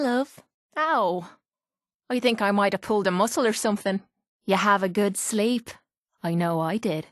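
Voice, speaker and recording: A woman's voice: medium pitch, a bit bright, dry recording, studio quality, lightly acted. An actress playing a brash, sarcastic, hard-drinking young woman brawler in a video game, with an Irish accent and a blunt, mocking way of talking. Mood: dry, thirsty. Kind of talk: video game companion voice lines.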